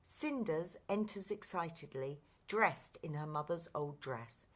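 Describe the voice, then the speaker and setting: A woman's voice: low voice, lively intonation, measured pace. A woman reading scripted narration and stage directions for a play.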